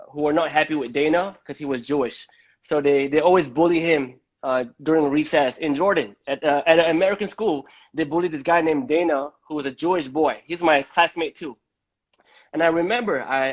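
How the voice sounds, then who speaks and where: A man from Malaysia with a slightly high voice hosts a live online talk. He speaks conversationally but haltingly, doubling back on words. The audio is dull and lo-fi. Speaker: host of a live debate. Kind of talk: conversational live-stream interview.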